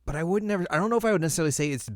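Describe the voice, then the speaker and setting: A man's voice: fairly high pitch, very fast, no room sound, studio quality. A man co-hosting a podcast in casual conversation, informal and wry, repeating words for emphasis. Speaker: podcast co-host. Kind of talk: casual chat, film ranking discussion.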